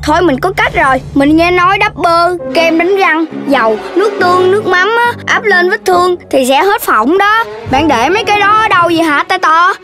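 Chubby, lazy cartoon schoolkid: very high voice, Southern Vietnamese accent, whiny and theatrical. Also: expressive, moderate pace, few pauses, over music. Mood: worried, urgent. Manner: exclamatory, whiny, dramatic. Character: excitable, scheming, lazy chubby little schoolkid, nicknamed Big Belly. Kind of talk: Vietnamese children's 3D cartoon dubbing.

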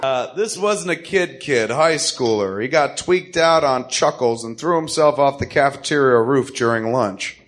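A man's voice: mid-range voice, measured pace, hardly any pauses, a bit bright, lightly acted. A man playing a wry, matter-of-fact hired killer in a comic-book radio drama reading, speaking casually. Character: gruff, businesslike male hired killer, grimly wry.

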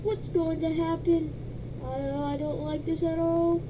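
A woman voicing a bossy, panicky female operating system in a video game, shrill and excitable. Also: unhurried, muffled recording. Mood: panicked. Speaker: game narrator voice. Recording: noisy game capture.

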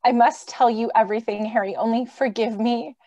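Lady acting a pleading guilty wife in a radio play, high voice, close dry sound. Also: a little fast, dark tone, no room sound, lo-fi sound, natural voice. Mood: remorseful. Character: remorseful, pleading idealistic young wife. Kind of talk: old-time radio drama acting. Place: indoors, on a video call.

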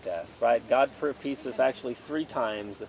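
A man's voice: mid-range voice, normal speed, gravelly, darkish tone, a little hiss or hum. A man in his forties, a pastor leading an outdoor sermon and Bible study, reading passages aloud and then preaching in a conversational way, recorded by a camera at a distance outdoors under a tent canopy.

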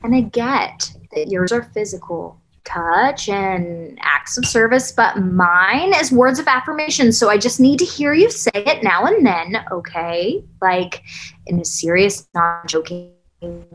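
A woman's voice: medium pitch, lively intonation, measured pace, a bit bright, slight background noise, rough recording. A woman in her thirties acting an earnest, defensive girlfriend in a naturalistic table read over a video call. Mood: frustrated. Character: earnest, defensive thirty-year-old girlfriend in a couple's spat. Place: small plain room, on video call.

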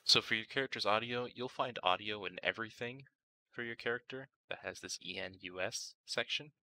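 A man talks through a software tutorial in an informal way, thinking aloud as he goes. His voice is low and gravelly at the edges, yet very bright and forceful. He sounds like a casual guide explaining each step. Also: a little fast, poor audio. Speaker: tutorial host.

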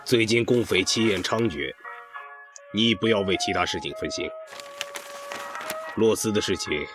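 Man acting a low-voiced senior army commander. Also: normal speed, music in the background, close to an everyday voice. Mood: stern. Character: stern, measured senior male army division commander. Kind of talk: Chinese audio drama acting.

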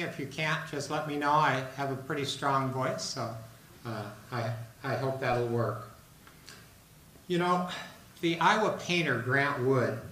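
An older man giving a measured public lecture from a podium, with frequent fillers and restarts. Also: medium pitch, a bit bright. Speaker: guest lecturer. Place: large lecture room with a seated audience. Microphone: podium microphone, recorded by a far camera. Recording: roomy hall with faint noise.

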